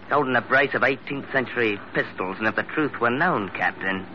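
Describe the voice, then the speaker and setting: A man's voice: medium pitch, a little fast, sharp tone, natural voice. An actor playing a shifty, chatty working-class man in a 1940s radio drama, colloquial and ingratiating. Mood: sly, confiding. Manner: colloquial, ingratiating, slightly sinister. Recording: muffled, noisy old radio recording.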